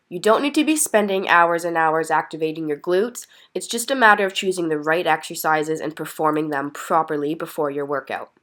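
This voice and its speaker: A woman's voice: lowish voice, a bit bright. A woman with a slightly stuffy, congested voice from being sick, narrating exercise instructions as a fitness vlogger in an encouraging, explanatory way. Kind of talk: instructional workout voice-over.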